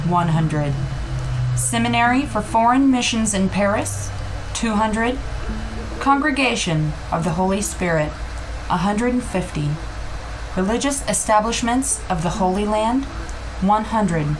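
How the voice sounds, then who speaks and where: A woman reading a literary audiobook in a measured, phrase-by-phrase delivery. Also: mid-range voice, background noise. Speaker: audiobook reader. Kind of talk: scripted literary narration. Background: background music.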